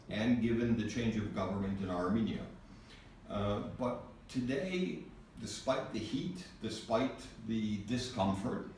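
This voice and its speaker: A man's voice: lowish voice, darkish tone. A middle-aged American man from New England, speaking informally as he hosts a meeting, with a joking manner. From New England, USA. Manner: joking, teasing. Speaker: think tank director hosting a meeting. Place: small office meeting room. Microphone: distant camera mic. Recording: echoey, noisy room, far mic.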